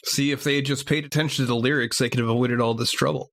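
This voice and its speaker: A man's voice: mid-range voice, fairly even intonation, quick pace, gravelly, fairly bright tone. A man from the American South chatting casually as a podcast co-host. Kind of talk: casual chat.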